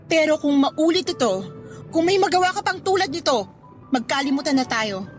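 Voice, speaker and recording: A woman's voice: high voice, animated, moderate pace, faint music behind. A woman playing a hurt but forgiving young girlfriend in a Tagalog radio drama, speaking earnestly and reproachfully. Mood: firm, serious.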